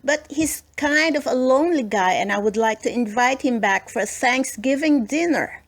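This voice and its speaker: A woman's voice: sits high, expressive, moderate pace, pitched above the performer's own voice. Woman as earnest, plucky storybook little girl. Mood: reassuring. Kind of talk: children's storybook character voice.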